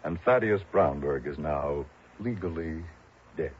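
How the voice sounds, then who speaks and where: An actor playing a calm, courteous runaway husband with quiet determination in a mid-century radio drama. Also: male voice, very deep voice, measured pace, breathy edge, exaggerated voice. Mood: quietly satisfied. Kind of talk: 1950s-60s radio drama acting. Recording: muffled old radio broadcast, faint noise.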